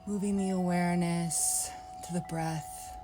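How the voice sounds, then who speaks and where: A woman leading a guided yoga nidra meditation in a soft, unhurried, inviting way. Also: lowish voice, steady tone, noisy background.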